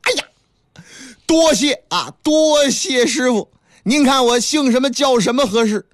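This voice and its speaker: A man voicing an excitable young male monkey disciple who talks in an eager, fawning rush. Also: very high voice, measured pace, no room sound, studio quality, voice pushed up.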